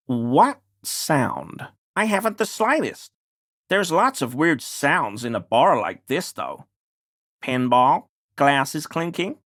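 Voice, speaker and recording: A man's voice: on the high side, fairly bright tone, dry recording, studio quality, exaggerated voice. An actor playing a paranoid, furtive deliveryman in a video game, conspiratorial and evasive, deferential yet smug. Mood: feigned innocence. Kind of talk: video game character dialogue.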